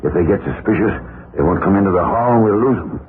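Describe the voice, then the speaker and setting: Bossy police sergeant, tinny old radio. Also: male voice, deep voice, animated, fast talker. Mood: urgent, hushed orders. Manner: commanding, clipped. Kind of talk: 1940s radio acting. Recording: noisy narrow-band 1940s radio broadcast.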